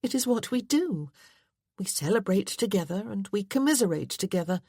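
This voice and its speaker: A woman's voice: mid-range voice, expressive, normal speed, dark tone, husky, no echo, studio quality, voice pushed up. A woman voicing an earnest, polite wife in a period romance audiobook, with a pleading manner. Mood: reassuring.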